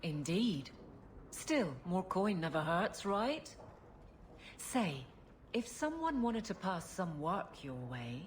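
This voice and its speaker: A woman's voice: on the low side, big pitch swings, slow pace, slight background noise. A woman voicing a brusque, no-nonsense guard captain in a video game, blunt and dry. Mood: wry, businesslike. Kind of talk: video game dialogue acting.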